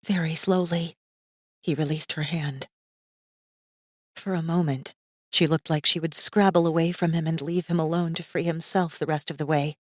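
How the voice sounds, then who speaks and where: A woman narrating a fantasy audiobook in a measured, suspenseful manner with deliberate pauses. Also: low-pitched, steady tone, dry recording, clean studio sound. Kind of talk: scripted dramatic fiction narration.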